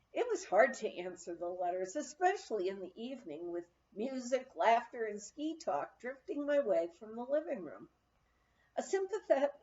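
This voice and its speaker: A woman reading a memoir aloud as an audiobook narrator, telling it in an expressive storytelling manner. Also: mid-range voice, dull audio. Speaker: audiobook reader.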